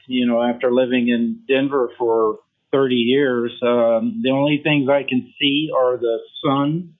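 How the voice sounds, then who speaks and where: Older man on a tinny phone line. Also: medium pitch. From Memphis, Tennessee. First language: French. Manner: measured, formal, with frequent uh fillers. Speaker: guest physician. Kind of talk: podcast interview.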